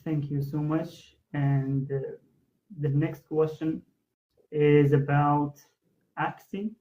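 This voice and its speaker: Farsi-native host asking long rambly questions in english, higher voice, muffled audio. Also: male voice, fairly even intonation, takes it slowly. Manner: long, searching questions with fillers. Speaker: interview host.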